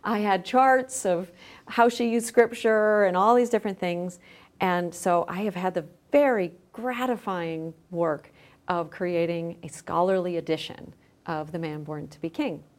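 A woman's voice: on the low side, unhurried. A woman speaking as a guest in a conversational interview, explaining her work like a scholar. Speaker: podcast guest, scholar and journal editor.

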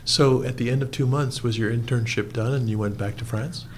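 A man's voice: medium pitch, fairly even intonation, brisk, a little hiss or hum. A man hosting an interview, asking curious, encouraging questions. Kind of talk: conversational interview.

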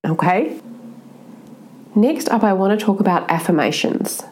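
Woman with a stuffy, blocked-up voice, mid-pitched, giving a sincere motivational talk. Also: measured pace, darkish tone, poor audio. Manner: earnest, keeps adding "right?". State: congested, blocked-up nose. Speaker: self-help speaker.

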